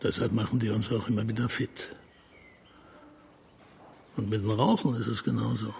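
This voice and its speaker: An actor in a radio drama playing a talkative, cynical alcoholic clinic patient who chats in a chummy, probing, colloquial way. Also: male voice, on the low side, little pitch movement, normal speed, creaky, a little mellow, slight background noise.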